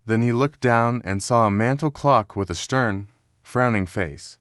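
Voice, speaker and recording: A man's voice: mid-range voice, unhurried, a bit bright, no echo, studio quality. A man reading scripted narration as the narrator of a children's storybook.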